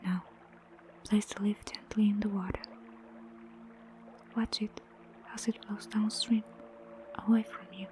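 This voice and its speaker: A woman guiding a meditation in a soft, breathy near-whisper, gentle and soothing, unhurried with long pauses. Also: medium pitch, flat delivery, background noise. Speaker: meditation guide. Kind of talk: guided meditation narration. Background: prominent music.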